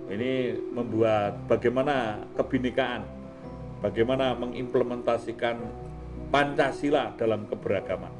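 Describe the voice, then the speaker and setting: Chatty Javanese-accented man over music. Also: medium pitch. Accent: Javanese-accented Indonesian. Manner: chatty, peppers talk with colloquial Javanese words and tag questions. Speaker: host of an art talk show. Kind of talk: casual, rambling discussion.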